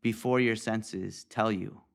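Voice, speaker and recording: A man leading a guided meditation as a teacher, speaking in a calm, deliberate way with pauses. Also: lowish voice, creaky.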